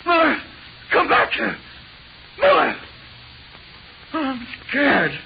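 A man acting a tough jailed crook who is terrified of the dark, with slangy American speech, in 1940s radio drama. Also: very high-pitched, very animated, drawn-out words, creaky, heavily acted. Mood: panicked, pleading. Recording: dull, noisy 1940s radio recording.

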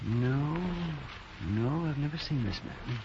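An actor playing a flustered, apologetic, polite gentleman in a 1950s radio drama, fussy and hesitant. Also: medium pitch, slow pace, close to an everyday voice. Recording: dull, noisy 1950s radio recording.

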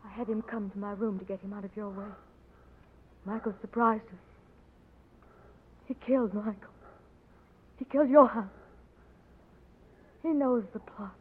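A woman's voice: medium pitch, fairly even intonation, unhurried, pauses often, slightly rough. An actress playing a frightened, desperate young noblewoman giving urgent warnings in a 1940s radio drama. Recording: muffled old radio broadcast with hiss.

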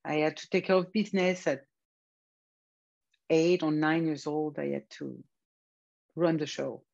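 A woman, giving brief, soft-spoken answers as an interview guest. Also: sits low, fairly even intonation, a little slow, vocal fry. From France. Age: 60s. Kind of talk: personal interview. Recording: dull lo-fi audio.

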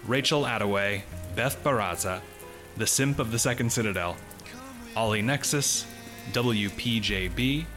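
A man reading scripted announcements as a podcast announcer, in a measured, formal manner. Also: on the low side, a bit bright. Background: music.

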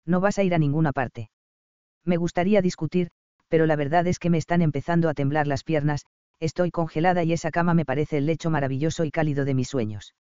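A man narrating a first-person romance audiobook in a calm, even storytelling manner, in a slightly dull, dry recording. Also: a little high, quick pace, clear, resonant tone, dry recording.

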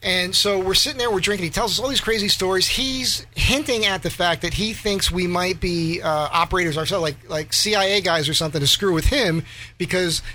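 A male guest tells a long story in a soft, breathy voice, restarting often with "so, so". His tone is bright and fairly high, and a faint room hum sits behind him. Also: fairly quick. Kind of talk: casual storytelling interview.

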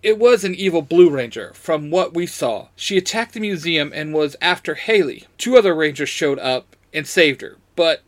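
A man voices a worried young superhero calling in trouble. His voice is raised and high for a man, with urgent, anxious delivery. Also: expressive, moderate pace, hardly any pauses, close to an everyday voice. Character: Justin, urgent worried young male blue ranger.

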